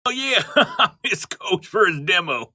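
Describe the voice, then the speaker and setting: A man's voice: high voice, very animated, a little slow, bright, forceful tone, pitched above the performer's own voice, exaggerated voice. A man voicing a boorish, dim-witted country coach with a Southern US accent and a rambling, blustering, folksy manner, in a comic sketch. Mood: gleeful, smug.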